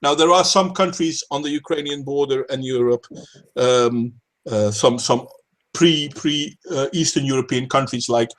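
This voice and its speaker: A man's voice: medium pitch, normal speed, fairly bright tone. A man hosting a casual stream chat, talking politics emphatically, swearing and repeating words as he starts sentences. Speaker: stream host.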